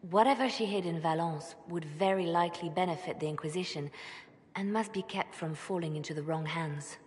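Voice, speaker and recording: Actress playing composed woman spymaster, game cutscene, lowish voice, deliberate delivery, long reverb, faint noise. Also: close to an everyday voice. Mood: guarded, serious. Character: composed, devout woman spymaster, guarded and pragmatic. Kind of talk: video game cutscene dialogue.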